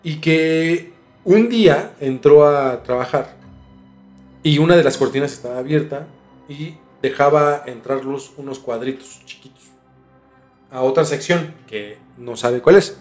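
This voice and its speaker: A Mexican man hosting a podcast, telling things in an informal, halting way with some mild swearing. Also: on the high side, a little mellow, soft background music. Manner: informal, halting, mildly profane. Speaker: podcast host. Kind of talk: casual storytelling discussion.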